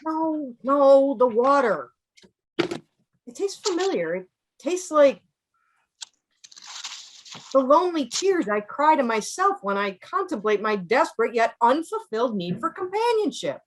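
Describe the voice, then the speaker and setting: A melodramatic oddball male scientist from a stage script, played by a woman. The voice sits high and moves animatedly, with a halting, earnest delivery. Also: sing-song, low-quality recording. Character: melodramatic, odd, lonely male boat scientist. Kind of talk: theatrical script table read.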